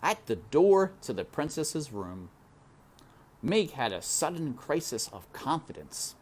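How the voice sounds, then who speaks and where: Deep-voiced, expressive woman reading a storybook. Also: takes it slowly, slight background noise. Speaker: read-aloud storyteller. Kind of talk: expressive children's book narration with asides.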